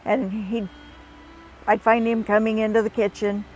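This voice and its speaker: A woman with a medium-pitched voice shares personal stories in the style of a documentary interview. Faint music runs underneath her words. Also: little pitch movement, moderate pace, darkish tone, rough recording. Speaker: military hospitality ministry worker. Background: background music.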